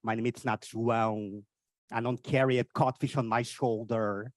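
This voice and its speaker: A Portuguese man with a Portuguese accent and an odd, cartoonish voice, doing energetic stand-up and teasing the audience on stage. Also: medium pitch, moderate pace, dry recording, studio quality. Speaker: stand-up comedian. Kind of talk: live stand-up with crowd work. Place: on stage at a live event.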